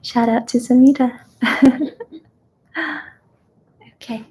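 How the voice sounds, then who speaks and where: A young woman born in China, whose first language is Kazakh, hosting a live ASMR question-and-answer chat in a gentle, soft-spoken way that slips into whispers. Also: a little high, level tone, takes it slowly, a little hiss or hum. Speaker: ASMR host.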